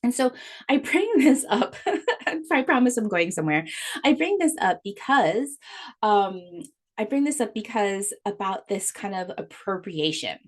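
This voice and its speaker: A woman's voice: medium pitch, sing-song, unhurried. A woman from Hawaii hosting her own podcast, talking in an earnest, conversational way with many fillers and restarts. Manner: laughs often. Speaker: podcast host. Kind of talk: casual solo podcast monologue.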